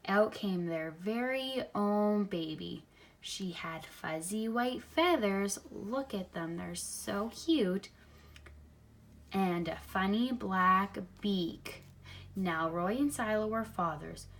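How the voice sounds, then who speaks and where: A woman reads a picture book aloud for young children, expressively, pausing to add simple comments of her own. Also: medium pitch, animated. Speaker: storybook reader.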